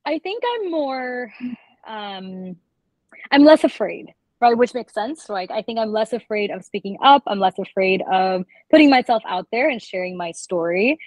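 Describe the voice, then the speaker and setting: A woman's voice: mid-range voice, animated, moderate pace, dry recording, rough recording. Young woman guest, thoughtful and sincere, talking pretty dry and close. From Bangladesh. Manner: thoughtful, earnest storytelling. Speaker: guest, immigrant rights advocate. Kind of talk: casual interview.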